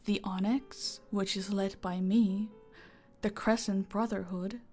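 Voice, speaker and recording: A woman playing a calm, dominant female mafia boss in an audio roleplay, teasing and deliberate, often slipping into a breathy whisper. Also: mid-range voice. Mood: calm, explaining. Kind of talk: roleplay audio acting, intimate and slow. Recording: music behind the voice.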